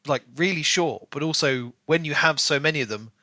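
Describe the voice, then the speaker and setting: A man's voice: on the high side, a little fast, sharp tone, dry recording. A man co-hosting a podcast, chattily discussing a book and peppering his speech with "like" and "you know". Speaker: podcast co-host.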